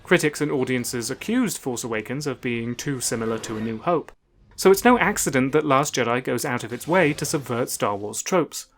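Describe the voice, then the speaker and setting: A man delivers scripted video essay narration at a medium pitch. He sounds measured and analytical as he argues his case, with faint music behind him. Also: lively intonation.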